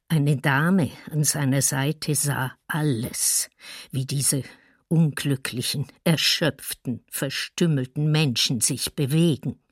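Slow, grave woman witness. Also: a bass voice, breathy edge, no room sound, clean studio sound, full character voice. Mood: grave, shaken. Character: woman witness recalling a neighbour's killing, grave. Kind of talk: documentary radio drama testimony.

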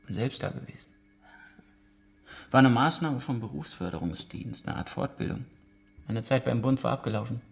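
An actor playing a wary, cocky former soldier under interrogation in a radio drama, dry, taunting and clipped. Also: male voice, mid-range voice, animated, normal speed, vocal fry, long pauses. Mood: guarded, dry. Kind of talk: naturalistic radio drama dialogue.

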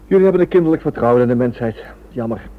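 A man's voice: medium pitch, lively intonation, fast talker, close to an everyday voice. A man playing a defiant captive in a radio play, sardonic and insistent as he reasons with his captors. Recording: thin narrow-band old radio drama recording.

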